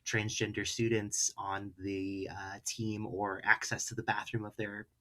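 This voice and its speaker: A man in casual political discussion on a podcast, informal and wry, pausing often on fillers. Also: lowish voice, level tone, pushed and bright.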